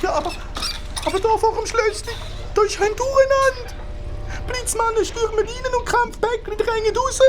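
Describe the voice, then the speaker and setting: A woman voicing an excitable, fretful creature in a Swiss German children's audio drama, exclaiming breathlessly and repeating herself. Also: very high voice, normal speed. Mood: alarmed.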